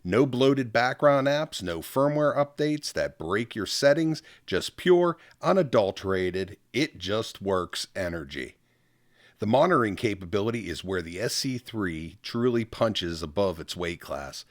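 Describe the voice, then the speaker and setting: A man's voice: fairly low pitch, expressive, a little slow, dry recording, clean studio sound. A man hosting a scripted product review as a narrator. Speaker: product reviewer and channel host.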